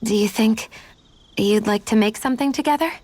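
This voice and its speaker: A woman's voice: on the high side, a little fast, dry recording, close to an everyday voice. Woman acting a composed young adventurer, dry close sound. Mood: tentative. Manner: composed, soft-hearted, gently teasing. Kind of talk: video game cutscene acting.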